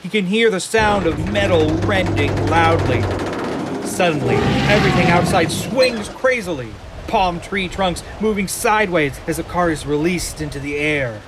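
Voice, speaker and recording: A man gives dramatic, suspenseful scripted narration for an audio drama. His voice is slightly high for a man. Very loud rain and storm noise runs behind him. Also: animated, normal speed.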